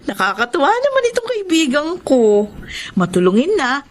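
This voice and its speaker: Nosy bestie in pinoy radio drama, high voice, swoopy n chatty, slight noise behind. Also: female voice, wildly swooping pitch, moderate pace. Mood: curious, admiring. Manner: chatty, inquisitive. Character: nosy, admiring young female best friend. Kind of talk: Filipino radio drama acting.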